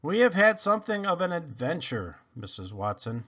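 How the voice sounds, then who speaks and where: A man voicing a doting, affectionate middle-aged gentleman in an effusive, theatrical storybook style. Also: fairly high pitch, big pitch swings, normal speed, dull audio, pitched above the performer's own voice. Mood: adoring, earnest.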